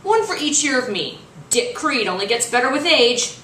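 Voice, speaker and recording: A woman's voice: high voice, sing-song, bright, forceful tone, pitched above the performer's own voice, full character voice. A woman voicing a smug, vain middle-aged male gym coach in a boastful storybook reading. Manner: boastful, drawn-out delivery. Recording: roomy, distant mic, faint noise.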